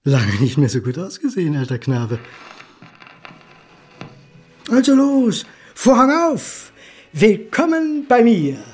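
An actor playing a nervous, sardonic man of forty-nine, wounded and over-eager, in theatrical, halting one-sided talk in a radio drama. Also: sits high, wildly swooping pitch, darkish tone, faint music behind, exaggerated voice. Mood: theatrical, self-mocking. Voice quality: husky, breathy. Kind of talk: audio drama acting.

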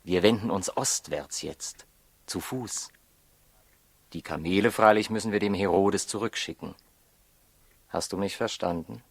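An actor playing a calm, authoritative astronomer from the East in a 1960s radio drama, courteous and reassuring. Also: male voice, low voice, unhurried, creaky, pauses often, breathy edge, no echo, exaggerated voice. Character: calm, courteous leader of travelling Eastern astronomers.

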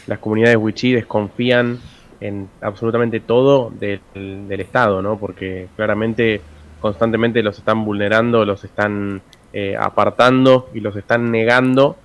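A man's voice: lowish voice, fairly even intonation, a little slow. A man commenting earnestly on live radio, hesitating between phrases. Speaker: radio co-host.

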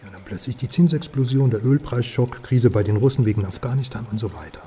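An actor playing a worldly, wry informant in a radio crime drama, talking in knowing, clipped conversational phrases. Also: male voice, mid-range voice, quick pace, dark tone, a little hiss or hum, close to an everyday voice. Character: worldly, wry male informant passing on political secrets.

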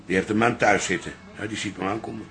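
A man's voice: fairly low pitch, steady tone, fast talker, fairly bright tone. A man playing a stubborn, wounded older father in a radio drama, curt, grumbling and sarcastic. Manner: curt, grumbling, dry teasing. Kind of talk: Dutch radio drama acting.

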